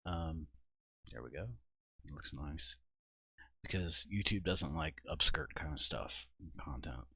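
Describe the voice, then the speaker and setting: A man giving an informal software walkthrough at his computer, thinking aloud in a halting, casual way. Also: rumbling low, gravelly, slightly rough, no room sound. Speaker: video creator and host. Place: indoors at a computer.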